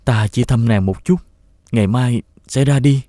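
A man playing a humble, forgiving young prince in an animated fairy tale, speaking formally and earnestly. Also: medium pitch, moderate pace, no echo, studio quality, close to an everyday voice. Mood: humble, tender. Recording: clear music behind the voice.

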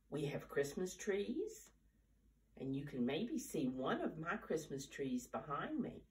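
An elderly American woman with a low voice leads storytime for small children. She speaks very slowly and simply, like a teacher, with lively rises and falls. The mic sits some distance away in a room at home. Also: creaky. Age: 70s or older. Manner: simple, deliberate teacher-like phrasing with questions to children. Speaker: storyteller reading to preschoolers. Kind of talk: storytime talk and picture-book reading for young children. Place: living room at home, indoors. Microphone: camera a few steps away.

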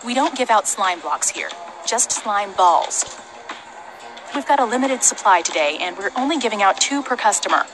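An actress playing a weary, rule-bound stall vendor in an adventure game, speaking matter-of-factly. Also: female voice, medium pitch, animated, moderate pace, close to an everyday voice, low-quality recording. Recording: game music behind the voice.

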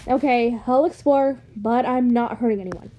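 A woman voicing a naive, gentle young robot, earnest and hesitant, in an amateur comic dub. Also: a little high, moderate pace, slight background noise, natural voice, low-quality recording. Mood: reluctant.

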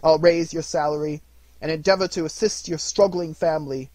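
A man voicing an old male miser, now reformed and hearty, speaking in a gruff, loud bark. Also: fairly high pitch, very animated, normal speed, no room sound, full character voice. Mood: joyful, loud. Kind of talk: audiobook reading.

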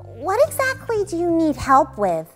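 A hesitant, polite little boy from a storybook, put on by a woman. His voice is pitched high and jumps about with expression, earnest and nervous, over soft music. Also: wildly swooping pitch, measured pace, exaggerated voice. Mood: concerned.

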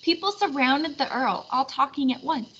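Animated higher-pitched woman reading a storybook. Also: moderate pace. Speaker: storybook reader. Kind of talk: animated children's read-aloud narration. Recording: slightly muffled, faint background noise.

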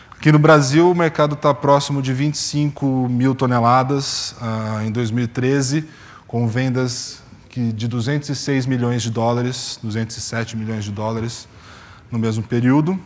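Man lecturing in a reverberant hall. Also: mid-range voice. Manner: explanatory, many fillers and restarts. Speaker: conference speaker. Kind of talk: conference presentation. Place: conference stage in a large hall. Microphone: lapel mic.

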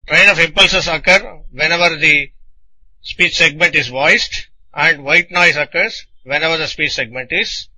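A man narrating a scripted technical lecture in a deliberate, didactic manner, explaining things in short phrases. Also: a little high, bright, forceful tone, poor audio.

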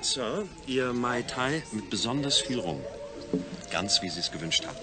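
An actor playing a polite, formal waiter in an audio drama. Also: male voice, medium pitch, animated, normal speed, music in the background, lightly acted. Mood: deferential. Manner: deferential, formal. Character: polite, formal waiter man serving drinks. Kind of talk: German audio drama dialogue.

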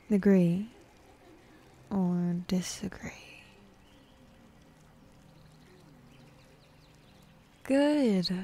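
A woman's voice: medium pitch, warm tone. A woman voicing a bossy, teasing demon in an intimate ASMR roleplay, sardonic and drawling, easily bored, slipping into whispers. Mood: menacing, teasing. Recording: faint ambient forest background noise.

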